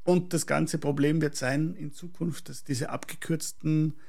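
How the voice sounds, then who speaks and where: A man from Prague, speaking as an architect in a reflective interview, deliberate and searching for words. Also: a little high, fairly even intonation, creaky, a little mellow. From Prague, Czechoslovakia, later schooled in Austria.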